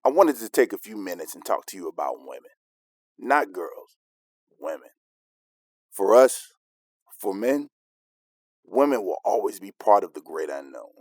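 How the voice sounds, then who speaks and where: An actor playing a father giving his son deliberate, mentoring advice in an audio drama. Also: on the low side, sing-song, no room sound, studio quality. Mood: affectionate, instructive. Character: fatherly man advising his son on video.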